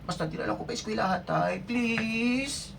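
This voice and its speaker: A woman's voice: on the high side, measured pace, gravelly. A woman playing an eager schoolgirl in an amateur radio drama, recorded at a distance. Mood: pleading. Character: pleading, eager schoolgirl begging her father. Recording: distant mic, faint background noise.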